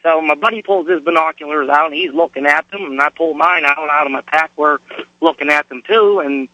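Bright, higher-pitched male caller with a cold. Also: fairly quick, no echo, rough recording. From Pennsylvania, United States. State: getting over a cold, slightly hoarse. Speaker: radio show caller. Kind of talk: casual anecdotal storytelling.

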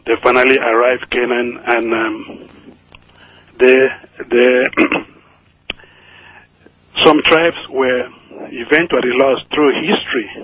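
A man from Nigeria with a Nigerian accent, calling in to a radio show, speaking slowly and carefully with many fillers. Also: medium pitch, fairly bright tone, breathy edge. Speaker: caller. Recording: muffled phone line.

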